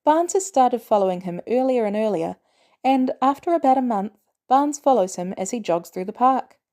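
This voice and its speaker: A woman reading fiction aloud as an audiobook narrator, in an expressive storytelling style. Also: a little high, normal speed. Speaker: audiobook reader.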